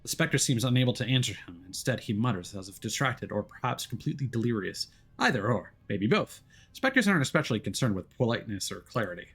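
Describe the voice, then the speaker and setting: A man's voice: medium pitch, big pitch swings, quick pace, a bit bright, slightly rough. A man streaming a story game, reading its narration aloud in a dramatic storytelling style. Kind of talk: dramatic narration of a visual novel.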